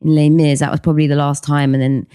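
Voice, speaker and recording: A woman's voice: sits low, level tone, fast, mellow, no room sound, clean studio sound. A middle-aged woman, chatting as a podcast guest, hesitant with many ums. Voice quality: husky voice. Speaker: podcast guest, actress and singer. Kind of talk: casual interview chat.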